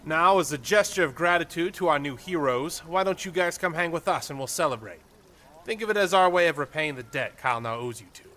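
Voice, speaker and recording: A man's voice: a little high, animated, a little fast, bright, forceful tone, a little hiss or hum, voice pushed up. A man voicing an easygoing, confident young street gang leader with a teasing, slangy manner in an audiobook. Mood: breezy, confident. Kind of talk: audiobook character voice.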